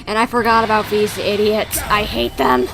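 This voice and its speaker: A woman's voice: fairly high pitch, little pitch movement, normal speed, gravelly. A woman giving casual livestream commentary on a video game, half talking to herself as she reacts to play. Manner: muttering reactions to play, self-talk. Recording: noisy stream with game sounds.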